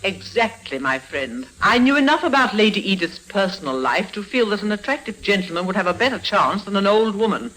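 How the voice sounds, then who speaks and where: Actress doing sly old lady villain, old time radio drama, sharp voice, very animated, slow menacing delivery. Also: mid-range voice, natural voice, low-quality recording. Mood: smug, gloating. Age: older. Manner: theatrical, deliberate, menacing. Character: cunning, ruthless old female con artist and crime boss. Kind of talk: 1950s radio drama acting.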